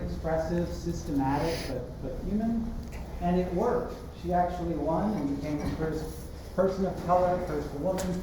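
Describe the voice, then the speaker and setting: A man on the faculty introducing a guest speaker in a lecture room, talking loosely with ums and uhs, recorded from afar with no microphone. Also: on the high side. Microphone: no mic, recorded from afar on a laptop. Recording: echoey room from far off, noisy.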